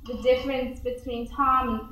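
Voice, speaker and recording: Stage role: embittered young Southern widow at prayer played by a teenage girl, high voice, impassioned, very echoey room. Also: little pitch movement, bright, forceful tone. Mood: accusing, grieving. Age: early teens. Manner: slow, deliberate, impassioned. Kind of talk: theatrical stage monologue. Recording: very echoey hall performance.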